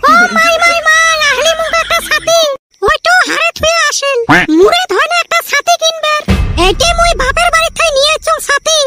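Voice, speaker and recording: A woman voicing a quarrelsome, scatterbrained cartoon village wife with a Rajbongshi Bengali accent and an artificially raised voice. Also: moderate pace. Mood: scolding. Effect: artificially raised cartoon pitch. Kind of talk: comic cartoon dialogue. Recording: loud background music.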